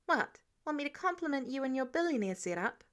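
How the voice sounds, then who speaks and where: A woman's voice: high voice, sing-song, fairly quick, fairly bright tone. A woman reading an audiobook, voicing a wary, cheeky young male student superhero. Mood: cheeky, challenging. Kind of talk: audiobook character dialogue.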